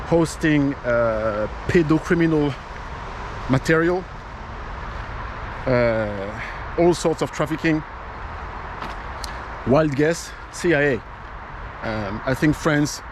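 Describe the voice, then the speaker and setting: A man's voice: mid-range voice, noisy background. A man giving casual political commentary as host, with many fillers and restarts, speaking English as a non-native speaker. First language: not English. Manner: conversational, frequent fillers and restarts.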